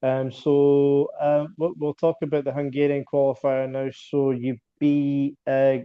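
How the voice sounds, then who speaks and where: A man's voice: medium pitch, flat delivery, a little slow, clear, resonant tone, phone-quality sound. A Scottish man hosting a darts podcast interview, asking questions in a stumbling, casual way with repeated words. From Scotland. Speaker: podcast host.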